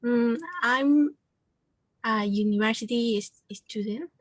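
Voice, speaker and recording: A woman, a university student and native Spanish speaker, introducing herself shyly and haltingly in English. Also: fairly high pitch, no echo. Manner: shy, halting, soft. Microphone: video call.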